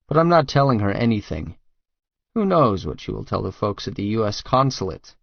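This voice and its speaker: A man's voice: on the low side, big pitch swings, brisk, vocal fry, no echo, highs cut off, heavily acted. A man narrating first-person fiction as a tough, crude, brooding hitman, terse and guarded. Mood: grim, guarded. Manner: terse, hard-boiled, profane. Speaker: audiobook narrator.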